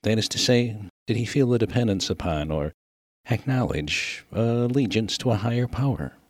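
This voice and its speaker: A man's voice: lowish voice, animated, moderate pace, no echo, voice pushed up. A man voicing a refined, mild and earnest clergyman, formal and wordy, in a humorous story. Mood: solemn, inquiring. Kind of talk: dramatic reading of a humorous story.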